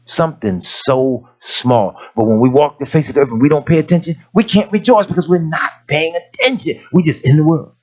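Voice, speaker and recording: A man preaching a sermon in a raspy voice, telling everyday stories and rising to emphatic points. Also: on the high side, fairly quick, a little mellow, highs cut off. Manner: folksy storytelling preaching, rising to emphatic peaks.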